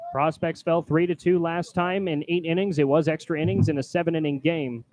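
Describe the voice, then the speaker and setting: Higher-pitched male baseball play-by-play announcer. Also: steady tone, brisk, rough recording. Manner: loud, projecting on calls. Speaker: baseball play-by-play announcer, also doing public address. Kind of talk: live play-by-play sports commentary. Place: press booth at a ballpark. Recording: clear background noise.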